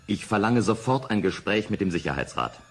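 An actor playing a visionary, eager male game inventor in a sci-fi radio drama, persuasive and declamatory, with a husky voice. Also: lowish voice, very animated, brisk. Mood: urgent. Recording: long hall reverb.

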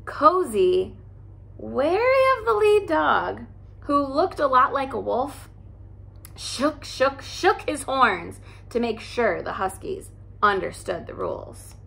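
A young woman, a teacher, reading a children's picture book aloud in an animated, expressive way at home. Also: sits high, slow, gravelly. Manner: animated, expressive storytelling with character voices. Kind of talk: storybook read-aloud. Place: living room by a fireplace, indoors.

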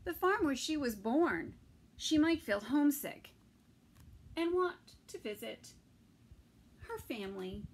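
Middle-aged woman, story time reader for small children. Slow, expressive, fairly high voice, home recording. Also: slight background noise. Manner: slow, expressive, pausing between phrases. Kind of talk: reading a picture book aloud to toddlers. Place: small indoor room with bookshelves.

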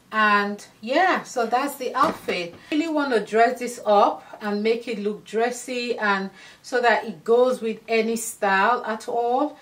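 A woman in her thirties talks viewers through outfits in her dressing room, calling them her darlings. She speaks slowly and easily at a middle pitch. Her voice is slightly hoarse, as if she is losing it. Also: fairly bright tone. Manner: chatty, affectionate, addresses viewers as darlings. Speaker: fashion vlogger. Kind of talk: casual styling talk to camera.

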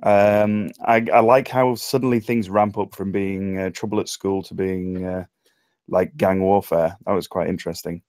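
A man's voice: deep voice, level tone, moderate pace, a little mellow, no room sound. An English man giving informal script feedback in hesitant phrases with dry humour. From England. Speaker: table read participant giving script feedback. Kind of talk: informal spoken critique. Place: remote online voice call.

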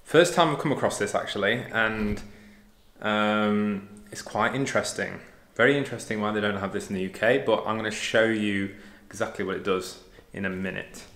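Echoey, low-voiced English man. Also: steady tone, normal speed, fairly bright tone. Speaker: DIY renovator and plumber demonstrating pipework. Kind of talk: casual hands-on tutorial. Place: indoors, empty room under renovation. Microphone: handheld or propped camera at close range. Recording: echoey bare room, some noise.

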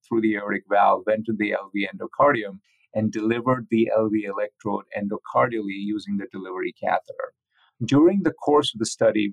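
Low, creaky-voiced man explaining methodically. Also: no room sound, low-quality recording. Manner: measured, methodical, technical. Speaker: interviewed physician researcher. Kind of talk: explanatory interview answers.

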